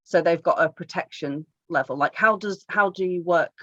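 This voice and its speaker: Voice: medium pitch, normal speed, fairly bright tone, no room sound, lo-fi sound. A podcast co-host asking questions and teasing the guest, laughing a lot. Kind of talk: casual podcast chat.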